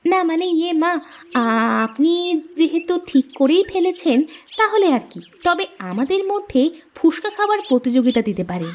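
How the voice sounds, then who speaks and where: A woman voicing an eager, cunning young daughter-in-law in a fairy-tale cartoon, animated and deferential. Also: very high-pitched, moderate pace, muffled recording, slight background noise. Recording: music behind the voice.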